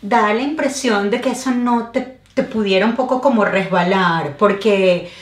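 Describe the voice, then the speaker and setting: A woman's voice: medium pitch, normal speed, reverberant. A woman hosting a casual interview, asking questions and chiming in with short affirmations. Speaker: interviewer host.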